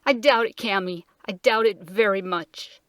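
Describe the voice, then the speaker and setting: A woman's voice: mid-range voice, normal speed, a bit bright, no room sound, clean studio sound, lightly acted. A woman voicing a gloomy, dubious male dromedary in a storybook, tremulous and wheezy. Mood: doubtful.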